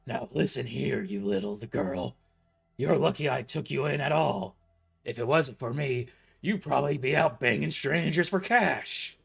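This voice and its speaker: Bullying old cafe boss, loud and croaking, a coward underneath, as a man puts him on in a story reading. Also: mid-range voice, a little slow, faint music behind.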